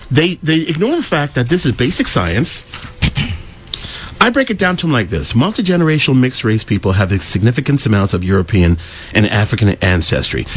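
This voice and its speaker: Guy explaining his views and telling stories, super lively pitch, emphatic, does quotes from people. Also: slightly rough. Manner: emphatic, acts out quoted remarks. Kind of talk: opinionated explanatory talk with anecdotes.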